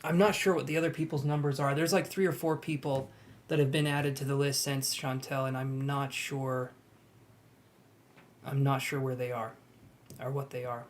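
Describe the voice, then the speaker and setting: A young man reading tarot on a live stream, chatting and gently reassuring his viewers. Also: medium pitch, flat delivery, moderate pace, slight background noise. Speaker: tarot reader.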